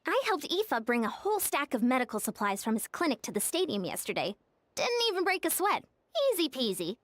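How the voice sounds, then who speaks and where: A woman's voice: sits high, sing-song, fairly quick, dry recording. A woman voicing a tough, upbeat female sports coach in a video game, breezy and self-assured. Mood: confident. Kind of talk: game dialogue.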